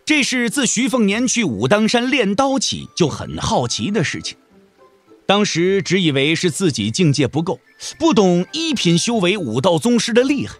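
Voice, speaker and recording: Guy narrating a wuxia audio drama, pretty high voice, really animated and dramatic, bit of music behind. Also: normal speed. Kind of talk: dramatic scripted narration of a martial-arts novel.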